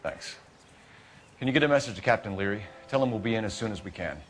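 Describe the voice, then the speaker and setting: A man's voice: lowish voice, lively intonation, fast, slight background noise, natural voice. A man acting a clipped, businesslike American police detective in a 1940s crime video game, formal and authoritative.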